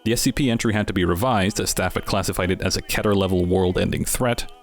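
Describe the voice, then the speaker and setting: A man's voice: low voice, fast. A man giving scripted narration as the host of an explainer series, with wry, dryly humorous asides.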